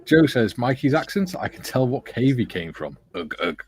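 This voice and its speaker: A man's voice: mid-range voice, very animated, normal speed, a little mellow, slightly rough. A man hosting a casual stream, reading viewer comments aloud and teasing his co-hosts in a jokey way. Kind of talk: casual chat.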